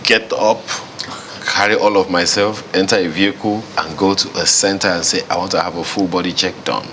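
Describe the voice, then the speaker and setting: A man's voice: sits low, steady tone, fairly quick, pushed and bright, slight background noise. A man hosting a TV studio discussion, talking casually and searching for words with fillers. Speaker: TV talk show host.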